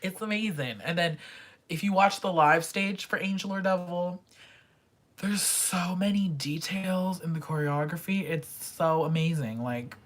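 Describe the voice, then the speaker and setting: A woman's voice: fairly low pitch, unhurried. A young woman casually reviewing pop albums in a vlog, chatty and gushing, sometimes dropping to a whisper. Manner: chatty fangirl, gushing, drops into quiet whispers.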